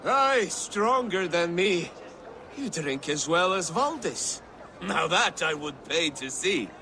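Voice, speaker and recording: A man's voice: high voice, very animated, takes it slowly, bright, forceful tone, a little hiss or hum, heavily acted. An actor playing a boastful, flirtatious Saxon man at a feast in a video game, speaking in a teasing, swaggering way. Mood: jovial. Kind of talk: video game dramatic acting.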